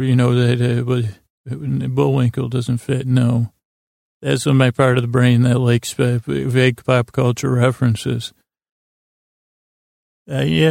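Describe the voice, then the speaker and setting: A man hosting a sleep podcast with a rambling bedtime monologue, halting and meandering, often interrupting himself and trailing off, in a creaky, gravelly voice. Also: mid-range voice, a little mellow, no echo, studio quality.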